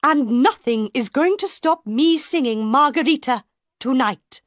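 A woman voicing a proud, vain female opera diva who declares loudly. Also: sits high, lively intonation, measured pace, dry recording, studio quality, voice pushed up. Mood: haughty.

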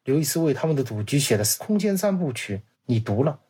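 Man, husky voice, scripted storytelling, radio-play narration, animated delivery, acts out quotes. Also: medium pitch, a little fast. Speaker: guest storyteller.

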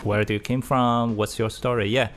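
A man's voice: medium pitch, little pitch movement, moderate pace, a bit bright. A man interviewing a guest casually, with hesitant questions and frequent "okay, okay" fillers.

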